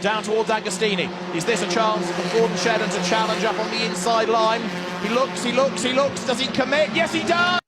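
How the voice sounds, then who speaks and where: A man giving excited, urgent live motor racing commentary. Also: high voice, a little fast. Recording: broadcast commentary over loud engines and music.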